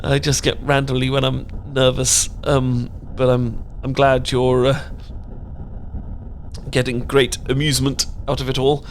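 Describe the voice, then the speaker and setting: A man voicing a bookish British man who trips over his own words, repeating himself and rambling whenever he gets nervous. His voice sits at an ordinary pitch for a man, in an intimate audio romance with a faint hiss behind it. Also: monotone, unhurried, lightly acted. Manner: stammering, rambling, flustered. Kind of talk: ASMR romance roleplay.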